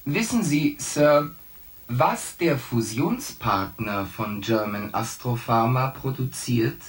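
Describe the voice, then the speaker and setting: A courteous male computer mind aboard a spaceship. He speaks very slowly and pedantically, as if reciting equations, at an ordinary middle pitch. The style is science fiction radio drama. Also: lively intonation. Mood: formal, pedantic. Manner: formulaic, pedantic, equation-like phrasing. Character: polite, literal-minded shipboard AI computer, male.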